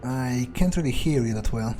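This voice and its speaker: A cynical, self-serving vampire, roleplayed by a male gamer, musing aloud through a video game. His pitch is middling and his manner hesitant. Faint music sits behind him. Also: moderate pace, dark tone, lightly acted. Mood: casual, probing. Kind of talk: in-character game playthrough, thinking aloud.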